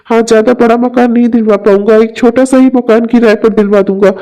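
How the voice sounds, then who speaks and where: A man's voice: extremely high pitch, level tone, brisk, dark tone, rough recording. A man voicing a caring young male friend who talks in a concerned, coaxing and chatty way in an amateur audio drama.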